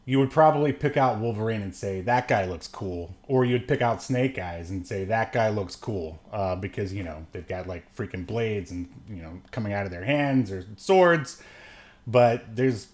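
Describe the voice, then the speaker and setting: A man co-hosting a podcast, talking casually and haltingly about entertainment news, often chuckling mid-sentence. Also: lowish voice, darkish tone. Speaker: podcast co-host. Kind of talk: casual chat, movie news commentary.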